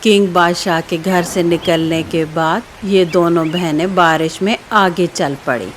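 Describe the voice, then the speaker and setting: A woman's voice: fairly low pitch, steady tone, measured pace, slight background noise. A woman narrating a scripted children's story.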